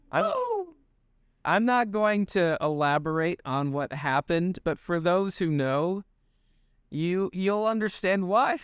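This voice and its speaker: A young man co-hosting a casual podcast, animated and swearing freely, often restarting sentences. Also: a little high, clear, resonant tone, no room sound, studio quality. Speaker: podcast co-host. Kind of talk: casual chat.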